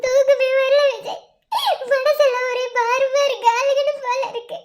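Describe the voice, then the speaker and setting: Actress as distraught young mother for a family serial: extremely high, childlike pitch, crying, plaintive, doubting. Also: fairly quick, a bit bright. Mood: tearful, anguished. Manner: tearful, plaintive, questioning. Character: anguished young mother, full of doubt about her child. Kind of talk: melodramatic family serial acting.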